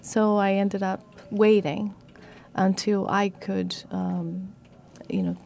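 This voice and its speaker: A woman's voice: medium pitch, fairly even intonation, takes it slowly, vocal fry, a little mellow, a little hiss or hum. A woman from Brazil, a psychologist and interview guest, friendly and self-deprecating. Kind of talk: casual interview.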